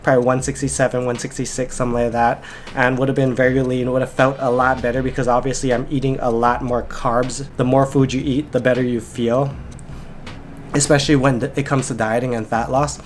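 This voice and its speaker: A man's voice: mid-range voice, little pitch movement, a little fast, a little hiss or hum. A young man vlogging about dieting and workouts in a casual, rambling way, slightly congested and nasal from a cold, with lots of "like" fillers. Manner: rambling, filler-heavy with frequent "like". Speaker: fitness vlogger and coach. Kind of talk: casual vlog chat.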